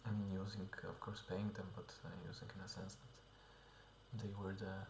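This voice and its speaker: A man's voice: low voice, completely flat delivery, normal speed, darkish tone, echoey room, a little hiss or hum. A man talking through a casual video diary, hesitant and full of fillers and restarts, often dropping to a half-whisper. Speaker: vlogger and musician.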